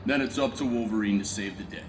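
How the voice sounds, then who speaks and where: A man's voice: fairly low pitch, fast, recorded from a distance, noisy background, lightly acted. A man playing a grumpy, reluctant, tough superhero, curt and exasperated, in a live comedy show. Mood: bored, sarcastic. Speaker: theme park show performer. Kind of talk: live comic storytelling stage show. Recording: echoey live show sound.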